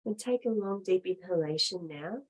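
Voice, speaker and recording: Woman, slightly low pitch, yoga teacher, guided meditation, measured instructions, short phrases, long pauses. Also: husky, rough recording.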